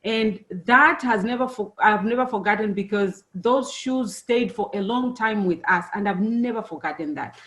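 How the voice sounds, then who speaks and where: A woman's voice: mid-range voice, fairly bright tone. Zambian-born woman speaking to students. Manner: friendly, unhurried, many fillers. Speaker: engineer giving a talk to students. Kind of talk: presentation to students.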